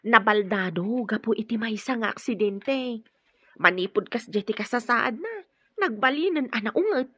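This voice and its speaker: An actress playing a chatty, respectful housemaid in a radio drama, deferential and confiding, with a Filipino accent. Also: female voice, fairly high pitch, lively intonation, moderate pace, bright, forceful tone, breathy edge, dry recording, highs cut off, exaggerated voice. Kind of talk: Ilocano radio drama acting.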